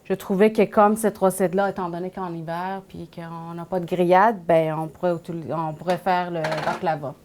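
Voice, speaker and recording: The Persian-accented woman invited onto a local cooking show, talking through ingredients at the counter in halting second-language phrasing. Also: on the low side, steady tone, darkish tone. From Iran. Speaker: guest home cook. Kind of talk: casual cooking explanation.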